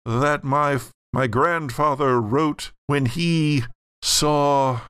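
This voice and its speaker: Man playing a very slow, grandiose sorcerer villain. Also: a little high, fairly bright tone, clear, resonant tone, no echo, studio quality. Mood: menacing, grandiose. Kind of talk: actual-play audio drama villain.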